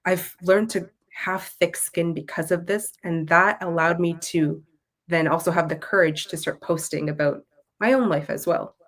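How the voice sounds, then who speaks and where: Lower-pitched Canadian woman, reflective. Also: steady tone, moderate pace. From Toronto, Canada. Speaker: guest, content creator and Tamil language teacher. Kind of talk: conversational interview answers.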